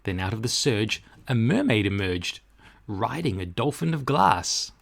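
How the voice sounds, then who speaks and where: A man reading a children's rhyming storybook aloud in rhythmic, expressive narration. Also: fairly low pitch, a little slow, vocal fry. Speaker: storybook reader.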